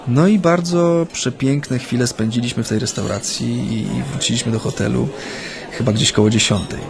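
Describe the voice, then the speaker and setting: A male actor plays an exiled Japanese activist in a radio play, a man with a medium-pitched voice. He reminisces thoughtfully, hesitating and humming between phrases. He sounds proud and homesick, in a natural, understated style. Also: fairly even intonation, vocal fry, crowd noise, lightly acted. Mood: reflective, reminiscing. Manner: hesitant, reflective, pausing with hums.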